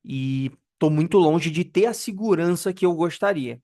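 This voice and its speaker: A man's voice: moderate pace, mellow. A man in his early thirties from Brazil talking straight to camera about personal finance, candid and self-deprecating, often stopping to search for words. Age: 30s. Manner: pitch rises and falls widely for emphasis. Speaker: personal finance video host. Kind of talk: casual monologue to camera.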